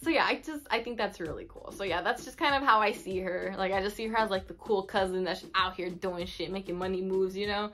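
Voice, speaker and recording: A woman in her twenties, a native Spanish speaker, chatting casually to camera in an expressive, slangy way with Spanish words mixed in. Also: mid-range voice, a little fast, few pauses. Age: 20s. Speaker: vlogger. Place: small bedroom indoors. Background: instrumental music.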